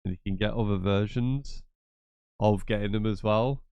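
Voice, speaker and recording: A man's voice: lowish voice, moderate pace, warm tone, smooth, dry recording, muffled recording. A man with a cold and a blocked, nasal voice, chatting casually as he walks through a gaming tutorial. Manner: chatty, repetitive, rambling asides. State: congested from a cold. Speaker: gaming channel host. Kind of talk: casual screen-share tutorial.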